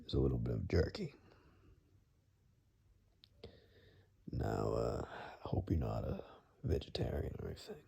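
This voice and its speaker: A man acting as a gruff, protective woodsman in an ASMR roleplay, with an extremely low, gravelly voice. He murmurs frankly and cautiously, often slipping into a husky, very breathy whisper. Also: dark tone, exaggerated voice. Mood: practical, reassuring.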